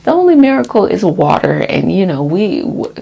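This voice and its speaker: A woman hosting a casual self-care healing session, talking in an encouraging, reassuring, conversational way with a husky, creaky voice. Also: medium pitch, very animated, moderate pace, dark tone. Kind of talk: casual spoken wellness talk for an ASMR healing session.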